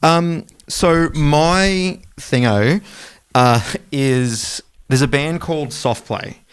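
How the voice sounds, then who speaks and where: An Australian man co-hosting a music podcast in loose, colloquial chat with some swearing. His voice is smooth and clean at a middling pitch, recorded close and dry. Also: extremely slow, dry recording. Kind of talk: casual chat.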